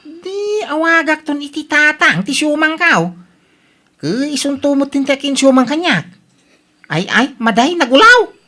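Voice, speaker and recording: A woman's voice: high voice, sing-song, a little slow, sharp tone, heavily acted. A woman with an Ilocano accent playing a scandalized, scolding grown daughter in a comedy drama, full of exclamations and questions. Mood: shocked, scolding.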